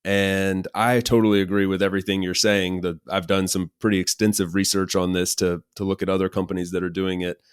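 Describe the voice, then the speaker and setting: Man, American accent, lowish pitch, dry close sound, podcast host, casual. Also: flat delivery, a little fast, hardly any pauses, clear, resonant tone, dry recording. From United States. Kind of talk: casual conversational podcast hosting.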